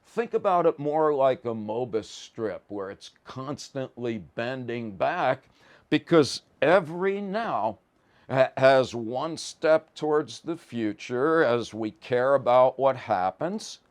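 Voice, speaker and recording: A man's voice: mid-range voice, animated, takes it slowly. A man lecturing informally on a course, explaining ideas in colloquial language with plain everyday examples and often stammering slightly as he starts a phrase. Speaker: lecturer in a psychology and philosophy course.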